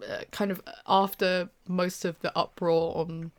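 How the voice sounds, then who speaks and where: A woman's voice: on the low side, flat delivery, a little slow. A young British woman chatting casually with a co-host, hesitant, with many fillers and restarts. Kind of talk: casual chat.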